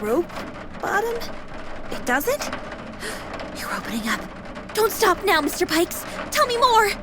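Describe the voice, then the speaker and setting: A woman's voice: very high voice, sing-song, takes it slowly, background noise, heavily acted. A woman playing a teasing, sassy young female AI companion in a video game, with a playful, mock-formal manner.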